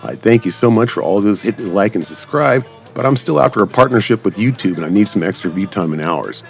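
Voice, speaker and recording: Deep-voiced man, casual gaming commentary. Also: animated, a little fast, vocal fry. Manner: rambling, addresses viewers directly. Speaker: gaming channel host. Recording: background game music.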